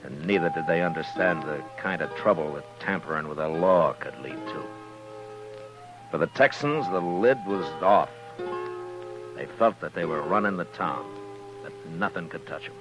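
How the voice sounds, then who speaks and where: A male actor as a stern frontier lawman with a very deep voice. He speaks in a measured, understated way, weary but firm. It is an old radio western with music behind him. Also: close to an everyday voice, poor audio. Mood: grim, reflective. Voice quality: deep, grave. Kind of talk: 1950s radio western acting with first-person narration.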